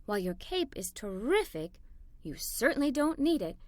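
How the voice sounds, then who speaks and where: A woman voicing a gentle, loving mother in a children's storybook, speaking in a soft, reassuring manner. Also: on the high side, very animated, moderate pace, dry recording. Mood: tender.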